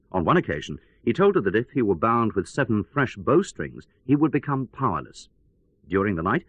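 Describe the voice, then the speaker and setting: Radio newscast drama: actor as wry male correspondent, very expressive delivery, lowish voice, dry close sound. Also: husky, no echo. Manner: wry, theatrical, emphatic storyteller. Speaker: news correspondent. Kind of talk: dramatized radio news report storytelling.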